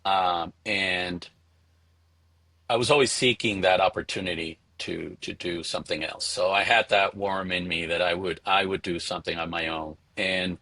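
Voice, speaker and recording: Low-voiced middle-aged man, close and dry. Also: fairly even intonation, moderate pace, bright, forceful tone, no room sound. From Venezuela. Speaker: interview guest, spirits entrepreneur. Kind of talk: conversational interview answers.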